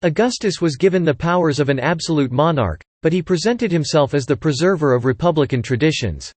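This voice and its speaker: A man's voice: on the high side, expressive, a little fast, dry recording. A man reading a scripted practice passage aloud as narration. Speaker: reader of exam practice passages.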